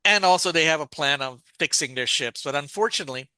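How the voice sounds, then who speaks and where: A man's voice: on the high side, fast, pushed and bright, clean tone, no room sound. A man co-hosting a casual podcast, recapping a story's plot at length and thinking aloud as he goes. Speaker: podcast co-host.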